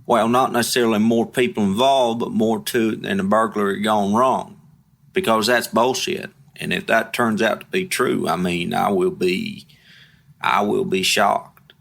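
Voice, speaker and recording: A young man, speaking in an interview, halting and repeating himself but blunt and emphatic. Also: lowish voice, steady tone, vocal fry, fairly bright tone. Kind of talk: candid interview.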